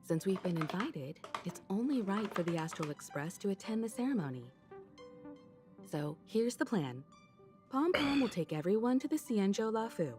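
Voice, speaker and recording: A woman voicing a poised, mature, gently wry leader in a video game. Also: mid-range voice, very animated, moderate pace, soft background music, lightly acted. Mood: composed, authoritative.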